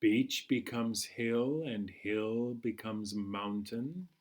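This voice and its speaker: A man hosting a children's storytime, reading a picture book aloud in a measured, singsong way. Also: lowish voice, darkish tone. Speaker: children's storytime host at a library.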